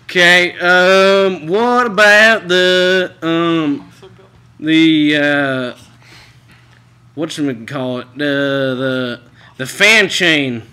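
A young man talks casually as a prank caller. His voice is very bright and forward, and he takes his time. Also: fairly high pitch, drawn-out words, clear, resonant tone. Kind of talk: casual phone talk. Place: room at home.

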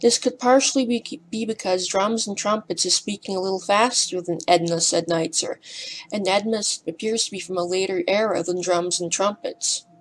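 A woman reading scripted documentary narration in a careful, slightly hesitant and breathy way. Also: medium pitch, moderate pace, a little hiss or hum, poor audio. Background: music.

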